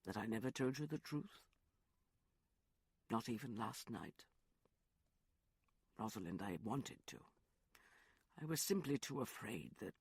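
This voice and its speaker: A man voicing a remorseful young gentleman in an audiobook, soft, hesitant and apologetic. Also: mid-range voice, expressive, measured pace, long pauses, slightly rough, no room sound, exaggerated voice. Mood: sad. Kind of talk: audiobook character voice.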